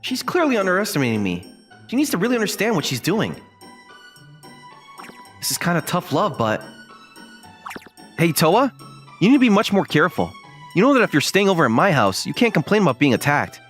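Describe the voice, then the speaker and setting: A man's voice: high voice, wildly swooping pitch, fairly quick, pauses often, fairly bright tone, faint music behind, full character voice. A man voicing an earnest, considerate, easily flustered young man who also narrates a manga dub. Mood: narrating, then scolding. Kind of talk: acted dialogue and first-person narration.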